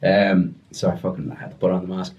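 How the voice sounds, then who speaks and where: A young man telling a story casually on a podcast, animated and breaking into voices as he retells it. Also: low-pitched, fairly quick, dull audio. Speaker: podcast guest.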